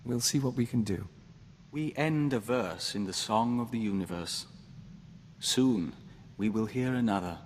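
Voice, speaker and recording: A man voicing a gentle, devoted diplomat of an insect hive mind, formal and measured, in a video game. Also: medium pitch, natural voice. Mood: calm, hopeful. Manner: formal, measured, speaks of himself as we. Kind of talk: scripted video game dialogue acting. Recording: long reverb, noisy game ambience.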